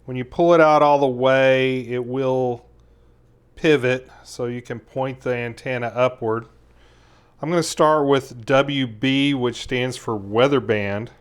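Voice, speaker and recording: A man's voice: medium pitch, fairly even intonation, takes it slowly, smooth. A man giving a casual, methodical hands-on product review, explaining each step as he goes. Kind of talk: casual hands-on gadget demonstration.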